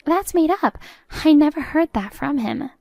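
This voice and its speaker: A woman's voice: sits high, expressive, normal speed, gravelly, darkish tone, dry recording, pitched above the performer's own voice. A woman voicing a haughty, meddling middle-aged mother-in-law in a story, agitated and indignant.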